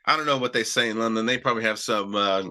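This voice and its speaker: A man with a very bright, sharp-edged voice hosts a live trading stream in a loose, chatty way. He has a cold and keeps circling back with "all right" as he talks through charts. His delivery is casual and full of restarts. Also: on the low side, fast. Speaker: stock trading show host.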